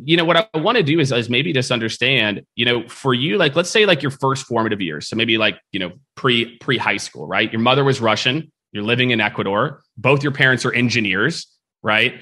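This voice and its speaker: A man hosting an interview podcast, energetic and loose, asking long rambling questions full of fillers. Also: medium pitch, a little fast. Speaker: podcast host. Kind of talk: conversational interview.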